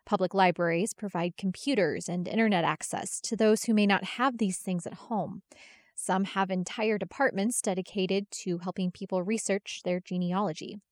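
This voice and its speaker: A woman's voice: medium pitch, dry recording. Woman host with a dry, close voice. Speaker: podcast host. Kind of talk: scripted introduction.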